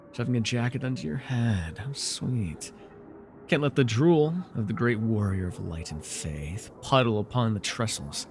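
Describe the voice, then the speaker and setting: A man's voice: medium pitch, natural voice. A man playing a villainous nightmare lord in an ASMR fantasy roleplay, sly, taunting and flirtatious, with a theatrical, teasing, mockingly sweet manner.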